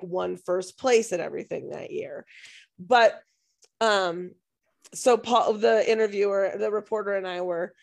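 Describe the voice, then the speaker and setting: A woman co-host whose voice is hoarse and fraying. There is a gravelly fry in her tone, and she tells stories in a casual, rambling way with plenty of restarts. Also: mid-range voice. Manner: rambling, self-interrupting storytelling. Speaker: podcast co-host and music teacher. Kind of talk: casual podcast chat.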